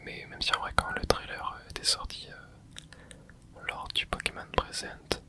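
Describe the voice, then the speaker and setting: A young adult ASMR host whispering casual, rambling chat while playing a video game, with many hesitant fillers. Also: a little slow. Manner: soft unvoiced whisper throughout. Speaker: ASMR gaming channel host. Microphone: close mic.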